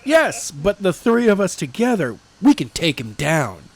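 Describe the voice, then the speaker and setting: A man's voice: high voice, sing-song, measured pace, voice pushed up, full character voice. A man voicing a vengeful, impatient young woman who argues indignantly, in an amateur audiobook reading. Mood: indignant, insistent.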